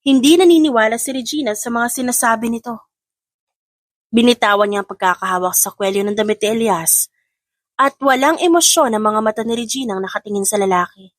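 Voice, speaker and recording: A woman's voice: medium pitch, dry recording. A woman reading scripted dramatic story narration as an audiobook narrator.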